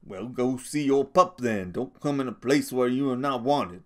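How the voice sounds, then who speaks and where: A man's voice: mid-range voice, normal speed, lightly acted. A man doing a proud bitter stable hand. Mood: curt. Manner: sharp, curt.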